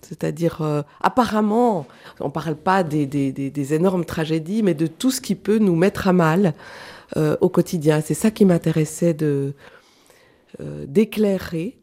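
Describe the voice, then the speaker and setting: A woman from Switzerland, a clown and actress, speaking as a guest in a radio studio interview, thoughtful and halting with many fillers. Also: low-pitched, mellow.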